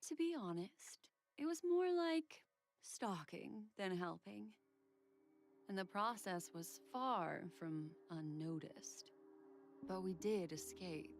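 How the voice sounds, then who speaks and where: Composed, slow-spoken, candid woman. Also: mid-range voice, expressive. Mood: composed, dry. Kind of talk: scripted game voice acting. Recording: music behind the voice.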